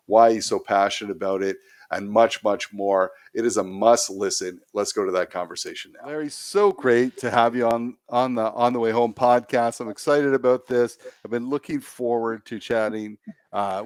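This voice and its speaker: A man's voice: lowish voice, no room sound. A man hosting a podcast, introducing and questioning his guest in an upbeat, encouraging way. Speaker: podcast host. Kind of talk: friendly interview hosting.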